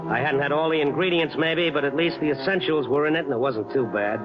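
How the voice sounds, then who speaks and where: An American actor playing a wisecracking, protective newspaper reporter in old radio drama, wry, slangy and world-weary. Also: male voice, on the high side, fast talker, close to an everyday voice. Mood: wry, narrating. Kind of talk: 1940s-50s radio drama acting and narration. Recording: dull old radio recording, loud music.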